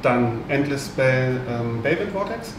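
A man's voice: mid-range voice, lively intonation, a little slow. A man in his thirties from Germany, a guest player, speaking hesitantly with many fillers. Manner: hesitant, lots of ähm fillers. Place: hobby game shop, indoors. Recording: echoey room, distant mic, audible hiss.

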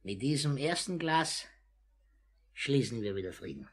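An actor playing a wheedling, menacing, greedy old crime boss in a 1950s radio play, husky and theatrical, repeating his phrases. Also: mid-range voice, very animated, measured pace. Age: older.

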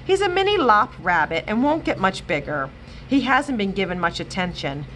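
A woman voicing a calm, kind woman who fosters animals, in a storybook read-aloud. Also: mid-range voice, normal speed, a little hiss or hum.

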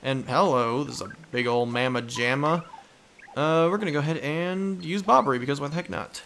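A man's voice: fairly high pitch, normal speed, a bit bright, slight background noise. A man hosting a let's play with casual, goofy, rambling gameplay commentary. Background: video game music.

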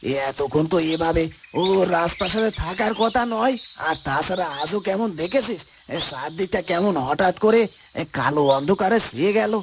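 A man's voice: sits high, expressive, moderate pace, very noisy. A man voicing an anxious, suspicious subject of a fairy-tale kingdom in a cartoon, with hesitant starts. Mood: uneasy. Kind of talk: dramatic cartoon dubbing.

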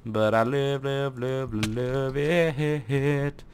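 A young man giving casual, rambling commentary over his own gameplay, joking at himself and breaking into laughing shouts. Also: mid-range voice, steady tone, very slow, slight background noise. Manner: rambling, self-mocking, bursts into laughing shouts. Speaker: gaming YouTuber.

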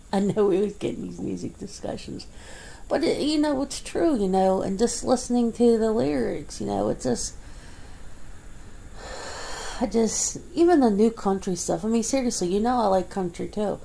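Woman ASMR creator, casual one-sided home chat, rambling and laughing, husky mellow tone. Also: mid-range voice, moderate pace, pauses often, rough recording. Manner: rambling, chatty, laughs often, many fillers. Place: indoors at home.

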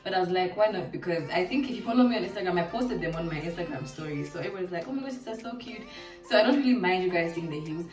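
A nineteen-year-old woman from Zambia, chatting casually and excitedly through a clothing try-on haul in her living room. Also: on the low side, fast talker. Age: late teens. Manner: chatty, bubbly, lots of fillers. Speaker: vlogger. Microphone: camera mic from across the room. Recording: echoey room, distant mic, faint music.

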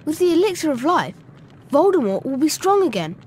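A woman's voice: high-pitched, big pitch swings, a little fast, darkish tone, a little hiss or hum. A woman playing an earnest, loyal young schoolboy wizard in a video game. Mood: grave.

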